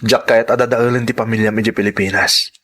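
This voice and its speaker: A man acting in an emotional drama as an earnest lover who pleads slowly to part. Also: on the low side, steady tone, fairly bright tone. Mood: pleading, apologetic. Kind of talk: Ilocano radio-style drama acting.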